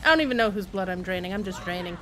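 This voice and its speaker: A woman's voice: lowish voice, lively intonation, very fast, fairly bright tone, a little hiss or hum, rough recording. A woman giving casual live game commentary, rambling and playfully self-deprecating as she chats to viewers and game characters. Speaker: game livestreamer.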